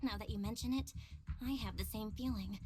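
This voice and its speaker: A woman's voice: mid-range voice, a little fast, lightly acted. An actress playing a caring, attentive young female investigator in a video game, speaking in an earnest and considerate way. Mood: thoughtful. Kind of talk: video game dramatic voice acting. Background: guitar music.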